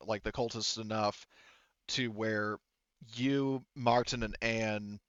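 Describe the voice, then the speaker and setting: A man's voice: lowish voice, fairly bright tone, smooth, no echo, highs cut off. A man acting as game master of a tabletop roleplaying game, casually talking players through rules and results.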